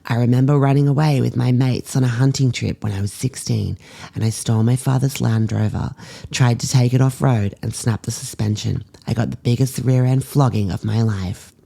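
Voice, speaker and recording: A woman reads in the part of an affectionate old grandfather. The voice is low and creaky. The phrasing is fond and old-fashioned, like a doting letter to a beloved grandchild. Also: steady tone, moderate pace, mellow, heavily acted. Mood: nostalgic, proud. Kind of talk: letter read aloud.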